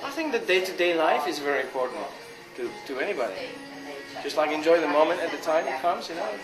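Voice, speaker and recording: A man's voice: on the high side, animated, fast talker. A man from France with a French accent, chatting casually as an interviewed musician, often breaking up his phrases with "you know". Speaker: interviewed jazz guitarist. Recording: echoey space with loud music. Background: loud music.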